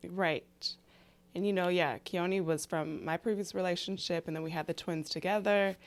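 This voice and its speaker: A woman's voice: on the low side, steady tone, moderate pace. A woman in her thirties co-hosting a family vlog, chatting casually in an earnest, persuasive way. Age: 30s. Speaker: co-host of a couple's family vlog. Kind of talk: casual conversational chat.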